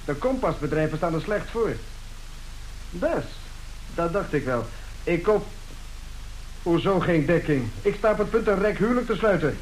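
Rich man's cocky young son, highish voice all over the place, old radio play, faint noise. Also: expressive, normal speed, long pauses, poor audio. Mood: cocky, scheming. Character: brash, scheming young son of a rich businessman.

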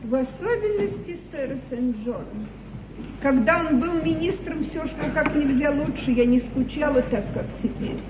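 A woman's voice: high-pitched, animated, moderate pace, reverberant. An actress playing a fretful yet imperious queen in a theatrical, declamatory mid-century stage comedy style. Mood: wistful. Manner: grand, declamatory, plaintive. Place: large theatre stage, echoing. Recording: muffled, hissy 1950s theatre broadcast, distant.

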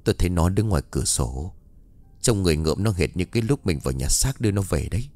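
A man voicing a shaken, grave older father in a horror story, speaking in a low, subdued way. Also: expressive, quick pace, mellow, no room sound. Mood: weak, grave.

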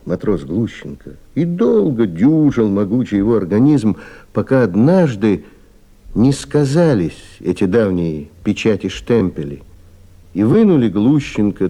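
A man narrates a literary radio drama. His voice is lowish and very dark, with lively intonation and deliberate, weighty phrasing.

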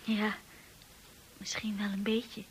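A woman's voice: mid-range voice, monotone, slow pace, husky. An actress playing a shy, earnest young lady's companion in a Dutch radio drama, hesitant and emotional in delivery. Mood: bewildered, unsure.

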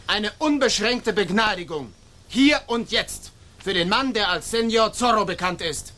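Radio-drama young caballero: bold, insistent, bright high voice, declamatory delivery. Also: male voice, lively intonation, a little slow, full character voice. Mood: defiant. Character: bold, proud young gentleman, spokesman for rebels. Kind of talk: German radio drama acting.

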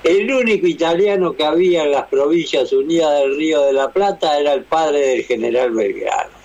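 A man's voice: on the high side, moderate pace, darkish tone. A man with an Argentine accent chatting casually on the radio and telling anecdotes. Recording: dull radio broadcast sound.

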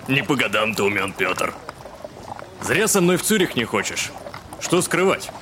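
An actor playing a pompous, fussy educated gentleman in an audio drama. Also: medium pitch, big pitch swings, a little slow, noisy background. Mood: lecturing. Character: haughty, irritable educated liberal gentleman landowner.

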